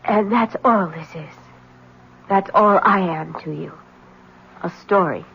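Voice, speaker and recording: An actress playing a troubled, enigmatic wife, grave, plaintive and evasive, in a 1970s radio drama. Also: female voice, on the low side, slow, creaky, husky, full character voice. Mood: wounded, doubtful. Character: troubled, enigmatic wife giving ominous warnings. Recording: muffled old radio broadcast recording.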